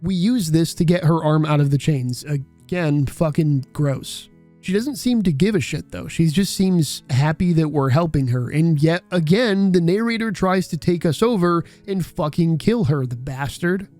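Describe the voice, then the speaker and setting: Male video essayist, mellow, slightly high-pitched, wry, casual scripted narration. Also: expressive, normal speed, soft background music.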